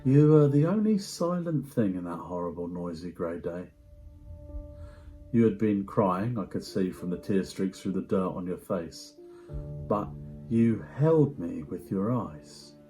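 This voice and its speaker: A man giving a dramatic first-person story reading in a hushed, measured way with deliberate pauses. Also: low-pitched, animated, soft background music, low-quality recording. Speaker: amateur actor performing a story reading.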